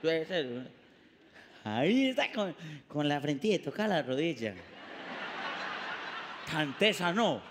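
High bright teasing man. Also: very animated, a little slow, pauses often, long reverb tail, a little hiss or hum. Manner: teasing, playful, jumpy delivery. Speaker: talk show host. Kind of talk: comic talk show banter. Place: TV studio set.

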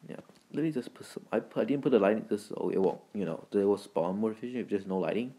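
Guy with a lowish voice doing laid out gameplay commentary, rambly and halting, super informal. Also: mellow. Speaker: gaming video creator and host.

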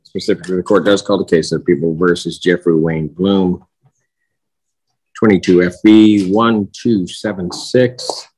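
Very deep, husky American male judge. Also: steady tone, a little mellow, low-quality recording. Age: middle-aged. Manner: measured, deliberate, official phrasing. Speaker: judge presiding over a court hearing. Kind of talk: formal courtroom rulings. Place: courtroom bench on a video call.

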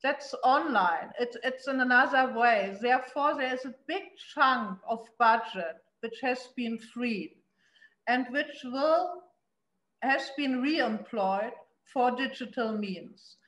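A middle-aged woman with a German accent, speaking earnestly on a webcam call. Her voice sits a little high and rings in the room, and she stops and restarts often as she presses her points. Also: takes it slowly, a bit bright, reverberant, rough recording. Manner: earnest, emphatic, frequent restarts and fillers. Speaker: city investment promotion official. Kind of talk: panel discussion on a video call. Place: indoor room at home. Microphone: laptop webcam on a video call.

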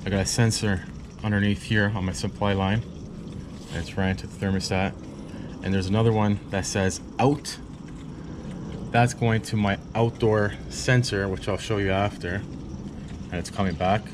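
A man's voice: lowish voice, steady tone, moderate pace, pauses often, background noise. A young man, an HVAC installer, casually walking through his work step by step in plain, informal talk. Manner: plain-spoken, informal, pointing things out step by step. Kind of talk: casual how-to walkthrough. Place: basement utility room.

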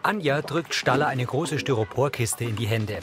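A man's voice: mid-range voice, moderate pace, close to an everyday voice. A man reading scripted audio description narration for a TV episode. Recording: music behind the narration. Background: music.

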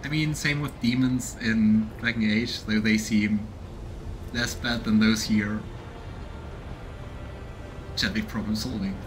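A man's voice: on the low side, steady tone, takes it slowly, pauses often, a little hiss or hum, low-quality recording. A man in his thirties doing casual let's play commentary and reading game text aloud as he goes. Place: small room indoors at home. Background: game music.

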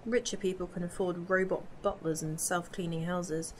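A woman's voice: lowish voice, fairly even intonation, moderate pace, a little mellow, natural voice. Woman playing a curious young companion, noisy recording. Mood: chatty. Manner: inquisitive, chatty. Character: curious, cheeky young female companion from the future. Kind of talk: fan audio drama acting. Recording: amateur recording with clear background noise.

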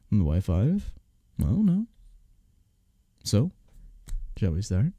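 A man playing a sleepy, affectionate, playful husband in bed, speaking softly and teasingly in an intimate ASMR roleplay, close to the mic. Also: lowish voice, huge pitch swings, slightly rough, no room sound, heavily acted. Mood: tender, playful. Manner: soft, drowsy, teasing, unhurried. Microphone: close, dry microphone.

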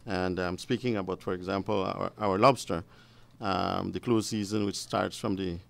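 A man giving formal, measured, halting explanations as an expert guest on radio. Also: deep voice, fairly even intonation, gravelly. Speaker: fisheries officer, studio guest expert. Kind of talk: explanatory interview answers. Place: radio studio.

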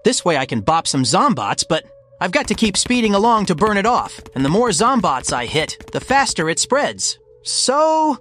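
Man voicing a high, animated young hedgehog hero. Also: normal speed, slight background noise. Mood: confident. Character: confident, heroic young male blue hedgehog. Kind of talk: comic dub voice acting.

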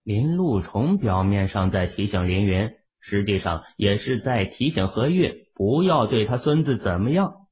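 A man's voice: on the low side, lively intonation, unhurried, few pauses, slightly rough. A man narrating a novel from a script in a dramatic storytelling style, with narrow, muffled sound. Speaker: audiobook narrator.

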